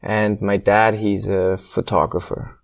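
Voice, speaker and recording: A man in his thirties from Switzerland, a circus acrobat being interviewed, speaking English with hesitant pauses and slightly phrasing. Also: fairly low pitch, little pitch movement, vocal fry. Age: 30s. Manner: hesitant, many ums, slightly non-native phrasing. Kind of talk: casual interview conversation.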